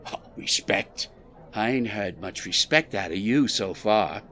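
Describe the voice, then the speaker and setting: A man's voice: fairly low pitch, animated, unhurried, fairly bright tone, a little hiss or hum. A man playing a proud, touchy, streetwise 1920s Chicago club boss in a period drama, folksy but menacing. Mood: indignant, menacing. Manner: folksy tough talk turning to veiled threats. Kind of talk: period radio drama acting.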